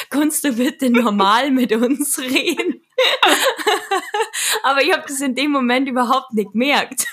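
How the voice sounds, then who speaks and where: Laughing woman with a Bavarian accent. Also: a little high. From Munich, Germany. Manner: lively, laughs often while telling stories. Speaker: radio-trained voice speaker. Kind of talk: casual conversation.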